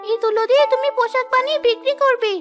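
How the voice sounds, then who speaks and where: A small, kind cartoon bird speaks in an extremely high, squeaky voice. It sounds sweet and eager to help, like a storybook creature, with clear music playing behind it. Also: female voice, fairly even intonation, racing. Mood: helpful, instructing. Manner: sweet, helpful. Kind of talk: moral-story cartoon dub.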